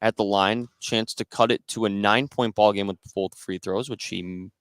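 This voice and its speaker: A man's voice: on the low side, steady tone, moderate pace, noisy background. American man calling live basketball play-by-play. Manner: narrates action in short running phrases. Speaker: play-by-play sports announcer. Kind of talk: live basketball radio commentary. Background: basketball game in a gym.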